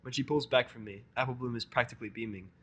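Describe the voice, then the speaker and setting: A male narrator reads a first-person fan story in a mid-pitched voice. His telling is sardonic, with a grumbling edge. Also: fairly even intonation, quick pace.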